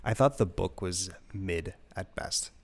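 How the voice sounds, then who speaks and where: A man's voice: low-pitched, a little slow. A man in a casual review chat, explaining things in an informal, conversational way as a co-host. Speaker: audiobook review show co-host.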